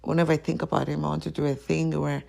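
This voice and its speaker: A woman's voice: sits low, little pitch movement, fast, gravelly, darkish tone. A woman hosting her own podcast, talking alone in a rambling, self-questioning way and sometimes trailing off into a whisper. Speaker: podcast host. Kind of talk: solo confessional chat.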